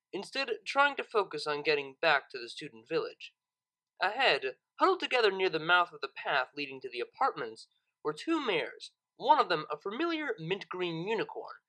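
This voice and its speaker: A narrator reading a scripted story audiobook in an expressive, dramatic storytelling manner. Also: mid-range voice, rough recording.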